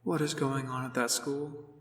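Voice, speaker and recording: Man voicing a bright-toned, caring big brother pony. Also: medium pitch, level tone, a little slow, husky, voice pushed up. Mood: worried. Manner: soft, coaxing, concerned. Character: caring older brother pony, young male, gentle and encouraging.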